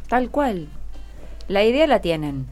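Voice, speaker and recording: Low-pitched Argentine woman radio host. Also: big pitch swings, takes it slowly, a little mellow, faint music behind. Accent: Rioplatense Argentine. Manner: encouraging, prompts with short questions and affirmations. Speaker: radio host interviewing schoolchildren. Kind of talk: friendly conversational radio interview. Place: radio studio.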